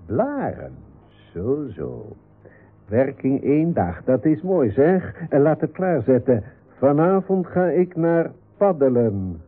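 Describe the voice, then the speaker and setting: An actor playing a curt, scheming company director in a radio comedy, giving clipped orders in a husky voice. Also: male voice, mid-range voice, sing-song, faint music behind. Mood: sly, satisfied. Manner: clipped, bossy, brisk orders. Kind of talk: radio comedy serial acting. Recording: tinny narrow-band radio recording.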